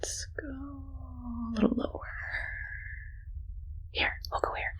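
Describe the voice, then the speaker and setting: A woman playing a friendly but evil scientist in an ASMR roleplay, whispering softly in a gentle, teasing, unhurried way. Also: sits high, little pitch movement, pitched above the performer's own voice, exaggerated voice. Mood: playful menace. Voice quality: soft breathy whisper. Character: friendly but evil female mad scientist, playfully menacing.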